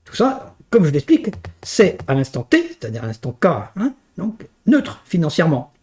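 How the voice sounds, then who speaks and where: A man's voice: a little high, wildly swooping pitch, moderate pace, a little mellow. A man lecturing on a technical subject in a didactic, emphatic way, often punctuating his points with little tag questions. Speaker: university lecturer. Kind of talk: teaching a technical finance course.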